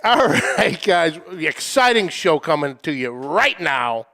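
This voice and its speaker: A man's voice: on the high side, big pitch swings, measured pace, bright, forceful tone. A man hosting a casual livestream with banter, announcing things in a hyped, announcer-like way. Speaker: show host.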